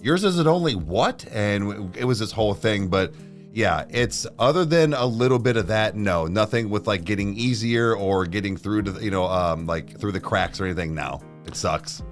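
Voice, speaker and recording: A man's voice: on the low side, moderate pace, faint music behind. A man co-hosting a casual livestream who rambles through his thoughts with many "like"s and restarts. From Ohio. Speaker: co-host of a reaction channel livestream.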